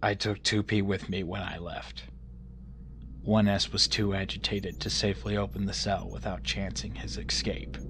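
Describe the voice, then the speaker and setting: A man voicing a weary, coldly detached scientist creature, narrating a comic dub in a measured, subdued, deadpan way. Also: on the low side. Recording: faint noise behind.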